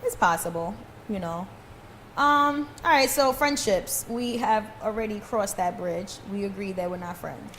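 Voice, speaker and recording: A woman's voice: mid-range voice, measured pace, a bit bright, reverberant, a little hiss or hum, poor audio. A woman co-hosting a podcast, talking in a blunt, teasing, opinionated way, recorded in a hair salon. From Guyana. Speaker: podcast co-host. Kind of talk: casual chat.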